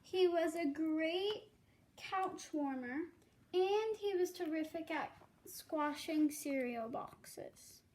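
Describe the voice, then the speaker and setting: A young girl reading a children's storybook aloud carefully, with some halting. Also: extremely high pitch, lively intonation, darkish tone, a little hiss or hum.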